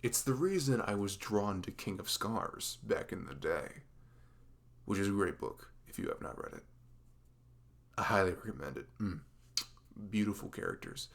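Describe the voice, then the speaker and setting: A man playing a dorky, playful male best friend in an ASMR roleplay, with a velvety voice and a halting, rambling, teasing manner. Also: lowish voice, expressive, gravelly. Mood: enthusiastic, rambling.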